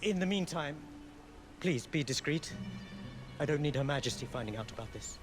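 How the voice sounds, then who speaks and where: Casting: male actor as discreet royal prince, fairly high voice, courtly manner, game cutscene with music. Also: expressive, normal speed, natural voice. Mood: guarded, courteous. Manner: courtly, formal. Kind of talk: dramatic game cutscene acting.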